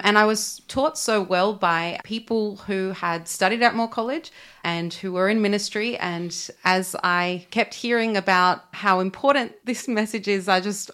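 Earnest young woman, low voice. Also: fairly bright tone, clean tone. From Australia. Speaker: guest. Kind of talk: podcast interview.

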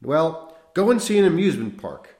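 A man's voice: a little high, sing-song, moderate pace, a little mellow, pitched above the performer's own voice. A man doing a wild-eyed rambling priest. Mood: feverish. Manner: wild, rambling, feverishly insistent. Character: agitated unhinged middle-aged male priest. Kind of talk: audiobook character voice. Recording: roomy echo.

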